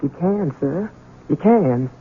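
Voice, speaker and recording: A woman's voice: low-pitched, expressive, slow, slightly rough, exaggerated voice. A woman playing a middle-aged, fearful and loyal housekeeper in a 1970s radio drama, deferential, with folksy rural servant speech. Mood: anxious, protective. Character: fearful, loyal servant housekeeper, protective mother of grown son. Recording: muffled, phone-like 1970s radio recording.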